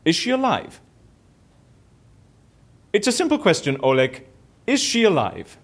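A man's voice: high-pitched, huge pitch swings, measured pace, heavily acted. A man acting in an audio drama as a bored, boastful, theatrical raconteur who talks chattily and self-importantly. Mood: playful. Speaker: lead character in audio fiction.

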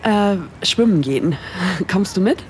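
Audio drama support: actress as a playful, teasing young female friend, medium pitch, easy conversational delivery. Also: expressive, unhurried, slight background noise, lightly acted. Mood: casual, coaxing. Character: teasing, cheeky young woman, heroine's best friend.

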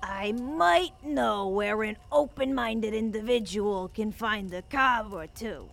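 A woman playing a folksy, suspicious, motherly bartender in an audio drama, with a creaky voice and rustic turns of phrase. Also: mid-range voice, slow, fairly bright tone. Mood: consoling, folksy.

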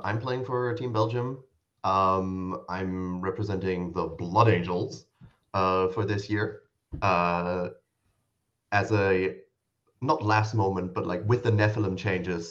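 A native German-speaking man with a lowish voice talks casually and haltingly. The audio is slightly dull. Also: fairly even intonation, fairly bright tone. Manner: hesitant, halting. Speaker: podcast guest, tournament player. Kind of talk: casual chat.

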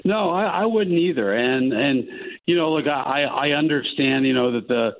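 A male sports columnist calling in, talking basketball in a halting way with lots of fillers. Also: mid-range voice, gravelly, dry recording. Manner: halting, many fillers and restarts. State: losing his voice. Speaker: columnist guest. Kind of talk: casual sports talk. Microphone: phone line. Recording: tinny phone line.

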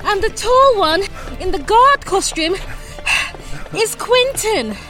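Brash young noblewoman adventurer, very high voice, animated, unhurried, fantasy audio drama. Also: soft background music, heavily acted. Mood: breezy. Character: brash, breezy young noblewoman adventurer leading her friends.

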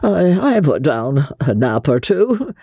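A woman voicing a pompous, easily flustered storybook gentleman with a halting, blustery delivery. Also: sits low, sing-song, no room sound, pitched above the performer's own voice, exaggerated voice. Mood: superb, self-satisfied.

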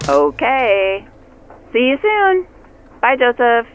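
An actress playing a sweet, chirpy, friendly co-worker over a phone in an audio drama. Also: female voice, fairly high pitch, wildly swooping pitch, slow, slight background noise, heavily acted. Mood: bubbly, sweet. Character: Lauren, bubbly friendly flirtatious woman co-worker. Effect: phone filter. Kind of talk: naturalistic audio drama acting. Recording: muffled phone-filtered sound with music.

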